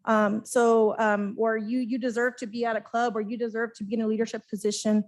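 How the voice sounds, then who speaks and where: Earnest woman panelist, fairly high voice. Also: level tone. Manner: halting, earnest. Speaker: conference panelist. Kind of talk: spoken advice to students.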